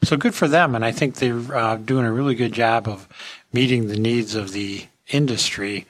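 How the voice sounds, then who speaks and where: A man's voice: medium pitch, normal speed. A man hosting a podcast with casual industry commentary, speaking to listeners in a folksy way. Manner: folksy, addressing listeners as friends.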